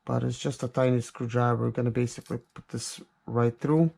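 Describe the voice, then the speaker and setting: A man's voice: medium pitch, steady tone, a little fast, a little mellow. A man giving casual, hands-on unboxing commentary as a reviewer, thinking aloud in an informal, hesitant way. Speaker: product reviewer and vlog host. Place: office. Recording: clear background noise with clicks.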